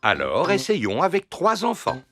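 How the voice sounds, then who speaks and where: Cartoon dad pig: jovial amateur scientist, very animated intonation, music behind. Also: male voice, on the high side, measured pace. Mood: keen, explanatory. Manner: explanatory, jovial. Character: cheerful father pig, eager amateur scientist. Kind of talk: dubbed children's cartoon dialogue.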